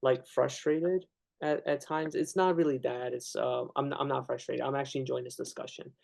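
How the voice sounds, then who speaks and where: A man's voice: medium pitch, fairly even intonation, creaky, darkish tone. A young man, the interviewer, debating casually with frequent restarts and fillers. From Ukraine. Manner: halting, many restarts and fillers.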